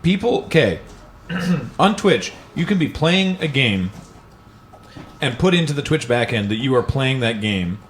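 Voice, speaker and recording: A man's voice: medium pitch, animated, unhurried, slight background noise. A man in casual conversation, explaining things emphatically as a livestream host. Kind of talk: casual chat.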